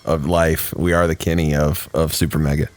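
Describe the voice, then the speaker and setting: A man's voice: extremely low pitch, level tone, brisk. A man co-hosting a podcast, joking casually in short, slangy, teasing remarks. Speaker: podcast co-host.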